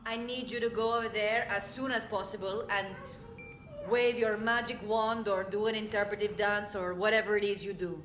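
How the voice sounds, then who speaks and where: Actress as commanding female boss, impatient, dismissive, medium pitch, video game, echoey with background ambience. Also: steady tone, normal speed, fairly bright tone, a little hiss or hum, lightly acted. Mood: haughty, impatient. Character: imperious, impatient businesswoman crime boss.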